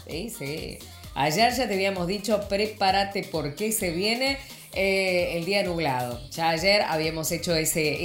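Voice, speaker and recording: A woman's voice: mid-range voice, reverberant. A woman with an Argentine accent hosting a morning radio show, chatting casually and rambling with lots of fillers and restarts. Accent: Argentine Spanish. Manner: warm greeting, rambling with many fillers and restarts. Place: radio studio.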